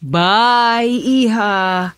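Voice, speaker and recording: A woman's voice: mid-range voice, very slow, a bit bright, soft background music. An actress playing a coaxing, bossy middle-aged mother in a radio drama, with a Filipino accent. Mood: friendly.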